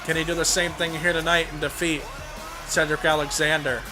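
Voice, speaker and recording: A young man with a hoarse voice from a cold, commentating a wrestling video game play-by-play and rising to shouts on big moves. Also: on the high side, level tone, fairly quick, music in the background, low-quality recording. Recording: home recording with clear background noise.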